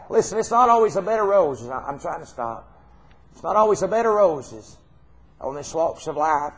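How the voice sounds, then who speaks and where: A man's voice: fairly high pitch, vocal fry. A middle-aged man with a Southern US accent preaching an informal, folksy, earnest sermon, repeating phrases for emphasis. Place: church hall stage, indoors.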